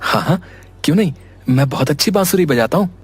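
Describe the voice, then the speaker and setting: A man playing an earnest, touchy young villager looking for work in an animated story, plain-spoken in manner. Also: a little high, quick pace, over music, natural voice. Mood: curious. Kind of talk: Hindi animated story dub.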